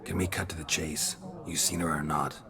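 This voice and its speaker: An actor playing a weary male monster hunter in a video game, speaking in short, blunt lines with a gravelly voice. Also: fairly even intonation, moderate pace, crowd noise. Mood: curt. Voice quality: very deep, gravelly, clipped. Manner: blunt, few words. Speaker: main character.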